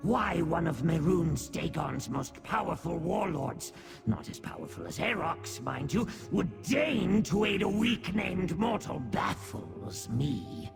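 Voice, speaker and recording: A man voicing a small demonic rat servant in a video game, gravelly, grovelling and grandiose in a theatrical way. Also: fairly high pitch, sing-song, slow pace, over music, full character voice. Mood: scornful, boastful. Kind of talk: video game character acting.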